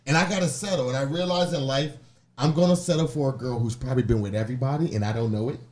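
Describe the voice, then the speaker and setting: An American man hosting a casual podcast, telling animated, slangy stories and acting out what people said. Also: mid-range voice, quick pace. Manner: animated storytelling, slangy, acts out quoted remarks. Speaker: podcast host. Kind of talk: casual group banter and opinionated rants.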